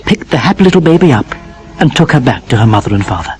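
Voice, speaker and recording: A man narrating a scripted children's fairy tale as an expressive storyteller. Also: mid-range voice, fast talker, slight background noise.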